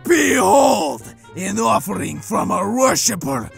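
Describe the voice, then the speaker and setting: A man's voice: high-pitched, big pitch swings, takes it slowly, gravelly, soft background music, heavily acted. A man voicing an arrogant, irritable dragon king head with a bossy, pompous manner in a cartoon dub. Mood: bossy, proud. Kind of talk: cartoon comic dub voice acting.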